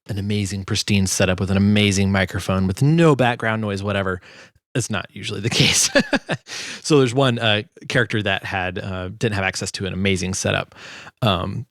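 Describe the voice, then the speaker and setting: A man's voice: on the low side, fairly bright tone, no room sound, studio quality. A man giving a casual, informal tutorial as a sound designer, hesitant as he thinks aloud. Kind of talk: casual screen tutorial narration.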